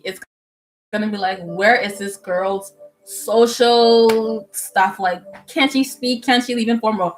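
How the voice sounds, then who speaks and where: A young woman from the Bahamas chats loosely with her livestream audience, her voice sitting at a middle pitch. She talks in an animated way with plenty of fillers and easy laughter. Soft music plays quietly behind her in a room at home. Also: poor audio. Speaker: medical student livestreamer. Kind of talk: casual livestream chat with viewers. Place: indoors at home.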